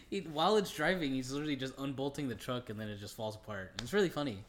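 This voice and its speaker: A man's voice: mid-range voice, big pitch swings, quick pace, a little hiss or hum. A young man co-hosting a podcast, talking casually about films in informal, slangy language. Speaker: podcast co-host. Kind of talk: casual movie chat.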